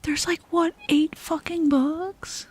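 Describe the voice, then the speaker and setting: A woman streaming ASMR, chatting casually in a soft, breathy, often whispered voice with a relaxed, intimate manner, close on the mic. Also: extremely high pitch, dry recording. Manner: relaxed, slow, intimate murmur. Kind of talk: ASMR, casual chat with live viewers. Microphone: close ASMR microphone. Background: music.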